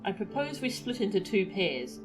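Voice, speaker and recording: A woman voicing an earnest, pompous young gentleman in a fantasy game, speaking formally and precisely. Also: mid-range voice, sing-song, moderate pace, over music, lightly acted. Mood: formal, brisk. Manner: formal, precise, self-important.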